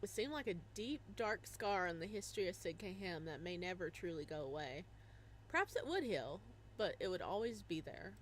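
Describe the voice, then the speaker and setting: A woman's voice: fairly low pitch, normal speed, slight background noise, low-quality recording. Woman reading a visual novel aloud. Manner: animated, laughing exclamations between narration.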